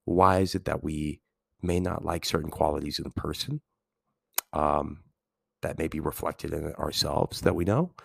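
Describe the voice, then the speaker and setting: A man with a very low, calming voice and a gravelly edge talks through his podcast in a loose, rambling way, full of fillers and restarts. He is getting over a cold. The sound is close and dry, with no room in it. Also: no echo. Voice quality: calming, soothing voice. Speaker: podcast host and teacher. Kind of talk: casual solo podcast talk.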